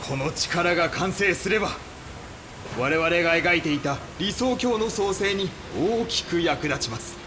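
An actor playing a cult leader's coldly polite, fanatical right-hand man in an audio drama, measured and formal. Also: high voice, lively intonation, background noise. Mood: fanatical, grandiose.

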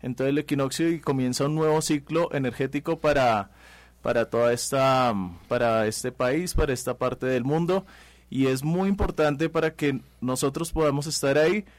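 A calm man, his voice in a middle range and recorded very dry and close. He speaks earnestly, pausing often with soft hesitations. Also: medium pitch, no echo. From Colombia. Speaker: guest, ceremony leader.

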